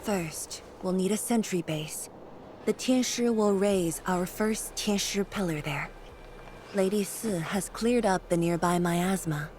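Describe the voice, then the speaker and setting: An actress playing a solemn woman leader in a video game story, speaking in a measured, grave and wistful way. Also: lowish voice, darkish tone, a little hiss or hum. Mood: composed briefing. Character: solemn woman leader returning to her ruined homeland.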